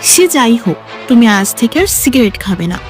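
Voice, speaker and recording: Woman acting a high-voiced, cheeky lovestruck young woman. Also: very animated, normal speed, soft background music. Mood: cheeky, bossy. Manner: teasing, cheeky, persistent. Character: mischievous, bold, lovestruck young female university student. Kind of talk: Bengali audio story acting.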